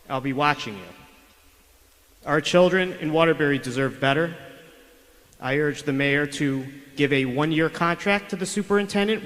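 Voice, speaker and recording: Male public commenter, fairly high voice, pointed and accusatory, heard through a public address system in a hall with a long reverb. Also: a bit bright. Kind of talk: prepared public comment at a school board meeting. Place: city meeting chamber. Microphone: podium microphone over public address.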